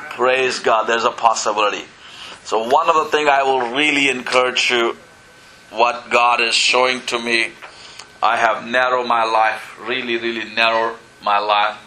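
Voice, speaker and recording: A man's voice: medium pitch, steady tone, unhurried, bright, forceful tone, slight background noise, rough recording. A man from Pakistan, preaching a sermon in church, earnest and full of "you know" and calls of "Amen?". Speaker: pastor.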